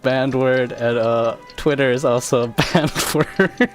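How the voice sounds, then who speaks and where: Slow-talking, halting male game streamer. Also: mid-range voice. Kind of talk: casual livestream chat. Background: faint game music.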